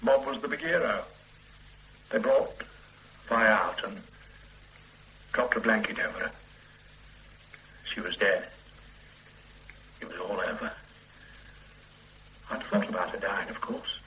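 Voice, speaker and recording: An actor playing a weary, regretful middle-aged man under police questioning in a radio play, speaking in a halting, hesitant way. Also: on the low side, lively intonation, a little hiss or hum, heavily acted, rough recording. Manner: halting, hesitant confession with quiet weary asides. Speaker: murder suspect under questioning. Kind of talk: 1970s-80s radio play acting.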